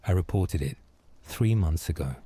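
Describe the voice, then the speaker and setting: A man playing a wary, evasive night security guard in an audio drama, husky and speaking in clipped, hesitant phrases. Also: sits low, animated, moderate pace, dark tone, a little hiss or hum.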